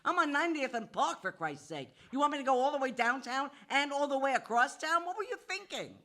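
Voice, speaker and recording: A woman's voice: sits high, big pitch swings, fairly quick, pushed and bright, full character voice. An actress playing an exasperated, sarcastic New York woman who works in banking, lecturing in a live audio play. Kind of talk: live stage audio drama.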